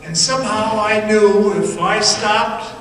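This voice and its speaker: Man acting high-pitched, frightened miner in echoey hall. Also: fairly even intonation, a bit bright. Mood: fearful, tense. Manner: halting, suspenseful, dramatic storytelling. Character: frightened miner recounting his haunting underground ordeal. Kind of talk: radio theatre dramatic monologue. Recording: noisy, very echoey hall, distant mic.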